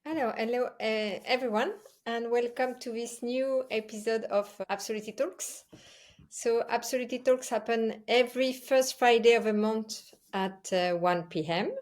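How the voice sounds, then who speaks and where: A woman with a French accent and native French hosts a live online talk show in a hesitant, halting way. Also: on the high side, fairly even intonation, a little mellow. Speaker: host.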